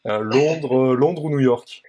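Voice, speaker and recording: A young man hosting an interview, firing off quick either-or questions in a friendly, hesitant way, with many filler sounds. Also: mid-range voice, mellow. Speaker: interview host.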